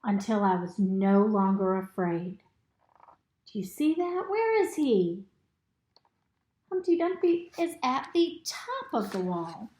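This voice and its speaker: A woman reading a picture book aloud to young children in an expressive, instructive way, pausing to ask them simple questions. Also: medium pitch, slight background noise. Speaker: storybook reader. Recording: roomy, echoey indoor recording.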